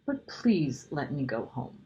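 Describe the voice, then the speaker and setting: A woman voicing a kind, innocent little girl from a children's storybook, speaking politely and sincerely with gentle insistence. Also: rumbling low, big pitch swings, slow pace, lo-fi sound, slight background noise, heavily acted. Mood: anxious, pleading. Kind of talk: children's storybook character reading.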